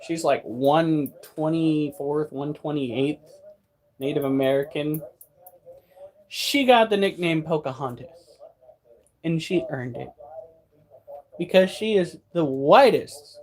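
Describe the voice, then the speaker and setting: A man's voice: on the high side, low-quality recording. A man in his thirties hosting a pet channel, talking casually and bluntly, breaking into profane rants. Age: 30s. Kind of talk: casual monologue.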